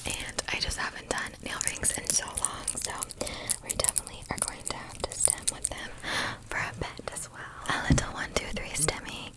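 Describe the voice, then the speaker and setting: A woman hosting ASMR in a breathy whisper, chatting to viewers and playfully repeating words and phrases in a rhythmic way. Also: poor audio. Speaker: ASMR video host.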